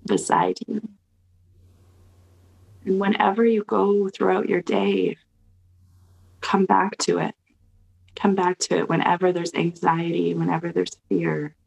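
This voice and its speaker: A woman with a lowish, gravelly voice talks someone through a mantra exercise. Her guidance is soft, slow and soothing, heard over a video call. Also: low-quality recording. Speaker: guest leading a mantra exercise.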